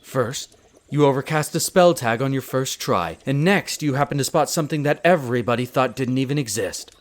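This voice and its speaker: A man's voice: mid-range voice, close to an everyday voice. A man playing a proud, prickly winter elf archaeologist who talks formally and haughtily in a scripted audio roleplay addressed to the listener. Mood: grudgingly impressed. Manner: haughty, formal, exasperated, theatrical pauses.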